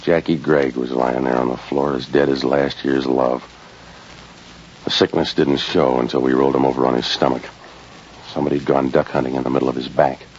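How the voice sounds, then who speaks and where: A cynical 1940s radio detective speaks from very deep down. He tosses out wisecracks with sardonic, deadpan weariness, on a dull, faintly hissing vintage recording. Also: fairly quick. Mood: grim, wry. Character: wisecracking hardboiled male private detective, cynical and world-weary. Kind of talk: 1940s radio noir acting and narration.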